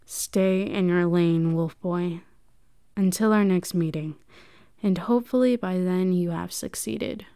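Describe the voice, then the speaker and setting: A woman's voice: on the low side, measured pace, vocal fry, warm tone, clean tone, no room sound, clean studio sound. A woman voicing a cold, commanding woman leader who hunts witches, in an amateur roleplay drama. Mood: dismissive.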